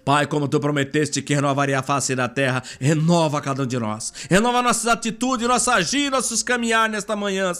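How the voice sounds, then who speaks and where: A Brazilian man with a notably high voice leads an extemporaneous prayer. He pleads fervently, punctuating his phrases with repeated invocations. Music plays behind him. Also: fairly quick, hardly any pauses, fairly bright tone, clear, resonant tone.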